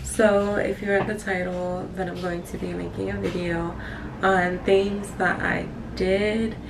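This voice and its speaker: A woman's voice: on the low side, level tone, unhurried. A woman in her twenties chatting casually to viewers as a vlogger, in a rambling, candid, apologetic way. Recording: distant mic, clearly noisy room.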